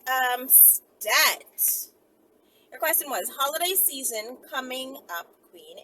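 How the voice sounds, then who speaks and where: A woman's voice: on the high side, slight background noise, poor audio. A woman host talking casually to her viewers, sassy and digressive, interjecting comments as she reads out messages. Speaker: host of her own video channel.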